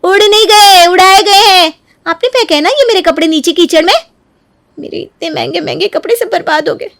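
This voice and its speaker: Woman acting a shrill, indignant young wife. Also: brisk, vocal fry, a bit bright, heavily acted. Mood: distraught, accusing. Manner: shrill, plaintive, emphatic. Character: indignant, wounded young wife fond of fine clothes. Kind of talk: melodramatic Hindi story dialogue.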